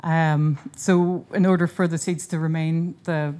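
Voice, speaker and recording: Lowish-voiced woman presenting. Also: little pitch movement, mellow. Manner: earnest, informal, halting with frequent restarts. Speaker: conference speaker and seed charity founder. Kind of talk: conference presentation. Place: hotel conference room.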